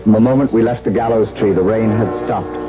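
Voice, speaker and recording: A man's voice: lowish voice, steady tone, quick pace, lightly acted. A man acting an anguished, lovesick husband who narrates a gothic horror tale in earnest, literary 1970s radio drama style. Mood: somber, tense. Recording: dull 1970s radio broadcast with loud music.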